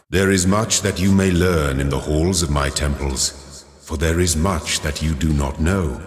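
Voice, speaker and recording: A man voicing a solemn godlike creator in a video game, speaking in grand, paternal, scriptural oratory. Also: low voice. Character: solemn godlike male creator of a garden world. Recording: cavernous echo with long reverb.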